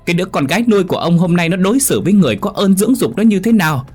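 A man's voice: fairly low pitch, a little fast, faint music behind, close to an everyday voice. A man voicing a cruel, nagging stepmother who scolds and screeches. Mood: screaming. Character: shrill cruel middle-aged stepmother.

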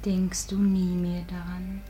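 An actress playing a gentle, hurt young sweetheart in a lyrical verse radio drama, tender, breathy and dreamy. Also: female voice, on the low side, monotone, takes it slowly. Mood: wistful, tender.

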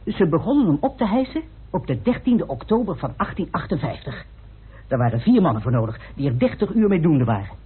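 An actor playing a methodical researcher explaining evidence precisely in a Dutch radio play. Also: male voice, on the high side, big pitch swings, fairly quick, breathy edge, exaggerated voice. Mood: methodical, earnest. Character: Peacock, methodical, meticulous male researcher laying out evidence. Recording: muffled, band-limited old radio recording.

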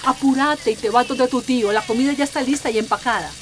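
A woman's voice: high-pitched, quick pace, noisy background, natural voice. A woman playing a stern, suspicious mother in a radio soap, scolding and insistent. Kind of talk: radio soap opera acting.

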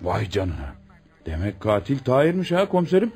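A man's voice: fairly low pitch, wildly swooping pitch, a little fast, a little mellow, a little hiss or hum. A man acting a deferential police assistant in a radio drama, reporting respectfully and eagerly to his superior. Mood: surprised, eager.

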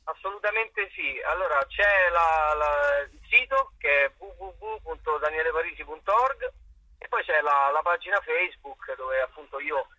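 A man speaks in a very bright, forceful voice with a husky rasp. He talks as an interviewed stage actor, feeling for words and doubling back. The recording is dull and narrow. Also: a little high, normal speed, no room sound. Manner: hesitant, searching for words, repeats himself. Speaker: actor and playwright being interviewed. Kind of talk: conversational interview answers.

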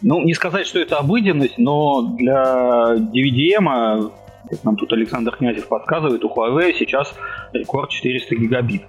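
A man's voice: mid-range voice, moderate pace, slightly rough, highs cut off, soft background music. A man on a podcast panel giving casual technical commentary.

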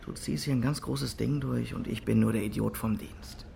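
An actor playing an agitated, apologetic colleague in a crime radio drama, pleading and insistent in a blunt way. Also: male voice, mid-range voice, steady tone, normal speed, creaky, warm tone. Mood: apologetic, uneasy. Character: agitated, apologetic male colleague trusting his gut. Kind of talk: naturalistic German crime radio drama acting. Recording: faint background noise.

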